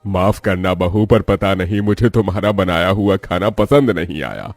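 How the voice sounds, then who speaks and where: A man voices an elderly father-in-law in a family tale. He sounds low and plain-spoken, kindly and protective. The recording is dry, with faint music behind. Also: normal speed, no room sound. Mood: apologetic. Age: older.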